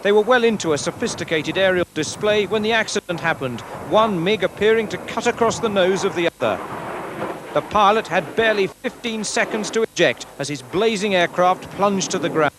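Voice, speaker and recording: A man's voice: sits high, brisk. A British man narrating a scripted television news report as a reporter. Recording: archive TV report, noisy outdoor background.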